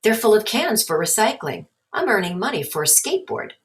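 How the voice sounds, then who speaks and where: A woman's voice: medium pitch, very animated, brisk, voice pushed up. Lady doing a young kid from a storybook, eager, earnest, plain talking, set on his plan. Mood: defensive. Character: young boy, eager and determined, storybook hero.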